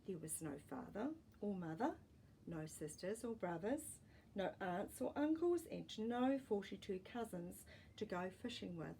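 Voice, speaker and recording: Mellow woman reading a children's story. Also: medium pitch, vocal fry, slight background noise, rough recording. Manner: deliberate, expressive phrasing for young listeners. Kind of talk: read-aloud children's storytelling with asides.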